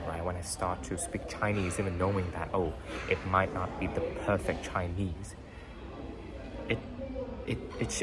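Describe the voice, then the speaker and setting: Deep-voiced man musing over music. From Vietnam. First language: Vietnamese. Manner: rambling, many fillers and tag questions. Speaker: podcast host. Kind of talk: casual motivational monologue. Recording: background music under voice.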